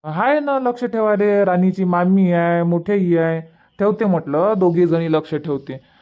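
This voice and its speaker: A man's voice: high-pitched, unhurried, darkish tone. A man voicing a friendly village brother in a cartoon phone chat, explaining things in colloquial Varhadi Marathi. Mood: friendly, informative. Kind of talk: cartoon comedy dubbing, casual phone chat.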